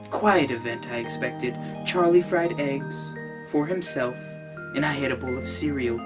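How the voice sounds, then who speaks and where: A man reading a novel aloud as a bedtime-story host, in a mock-formal, deliberate way. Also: medium pitch. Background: music.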